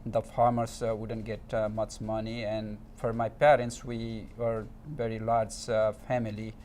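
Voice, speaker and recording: Halting Nepali-accented man, low voice. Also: completely flat delivery, darkish tone, slight background noise. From eastern Nepal. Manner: halting, hesitant, searching for words in non-native English. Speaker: interview guest. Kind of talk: conversational interview answers.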